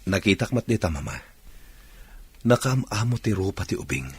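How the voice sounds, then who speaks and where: An actor playing a compassionate, concerned young doctor in an Ilocano radio drama, speaking earnestly. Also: male voice, fairly low pitch, expressive, normal speed, husky. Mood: troubled, tender. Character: compassionate young doctor, troubled by his mother's coldness.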